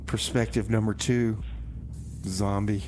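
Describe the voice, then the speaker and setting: A man's voice: lowish voice, little pitch movement, slow, darkish tone, slight background noise. A man hosting a community radio show, chatting and announcing songs in a casual, dry, offhand way. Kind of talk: casual on-air banter and song announcing.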